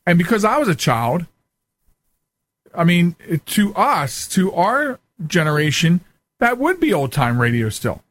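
A man's voice: high-pitched, sing-song, unhurried, fairly bright tone. A middle-aged man hosting a casual radio show, chatting in an enthusiastic, rambling way. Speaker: show host.

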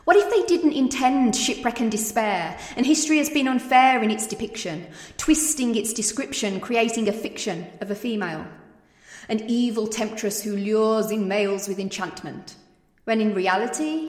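A woman performing spoken-word poetry with rhythmic, dramatic and pointed delivery. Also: fairly high pitch. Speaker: poet. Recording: echoey room with long reverb.

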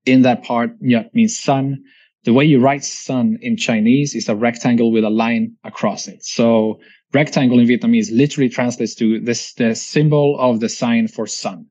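A man from Sweden in his forties with a Swedish accent, chatting reflectively as an interview guest and often ending thoughts with a quick tag question. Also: mid-range voice, fairly even intonation, darkish tone, dull audio. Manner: reflective, chatty, frequent restarts and "right?" tags. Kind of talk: casual interview conversation.

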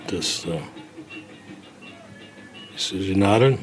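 A man's voice: sits low, slow, faint music behind. A man explaining things informally as a guide, often checking in with short tags at the end of phrases, recorded in an exhibition room. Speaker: museum guide and expert. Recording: clearly noisy indoor ambience.

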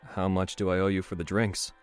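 A man's voice: sits low, monotone, brisk, clean tone, soft background music, close to an everyday voice. An actor playing a weary, remorseful father in an audio drama, speaking in a hesitant, subdued way. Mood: troubled, resigned. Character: weary, remorseful troubled father, pleading and apologetic.

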